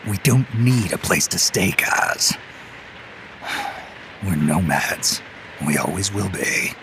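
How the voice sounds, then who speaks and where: A man playing a gruff, world-weary legendary soldier in a video game, stubborn, terse and wary, with a gravelly voice. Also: sits low, expressive, slow, noisy background, exaggerated voice. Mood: weary, firm. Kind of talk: dramatic video game cutscene acting.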